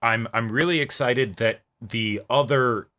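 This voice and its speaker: Bright, enthusiastic man talking TV. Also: medium pitch, a little slow. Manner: enthusiastic, rambling. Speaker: reaction show host. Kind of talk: casual excited TV episode discussion.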